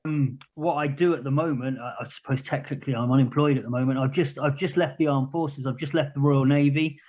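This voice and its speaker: A man's voice: medium pitch, steady tone, brisk, darkish tone. A man in his thirties with a southern English accent, talking candidly and at length as a guest in a casual interview. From England. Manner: candid, plain-spoken, rambling. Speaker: podcast guest, charity project manager. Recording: muffled lo-fi recording.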